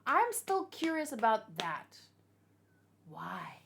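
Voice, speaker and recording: A woman's voice: fairly high pitch, sing-song, slow pace, fairly bright tone, slight background noise. A woman chatting casually and unscripted, thinking aloud and asking questions as she hosts a reaction video. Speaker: manga reaction host.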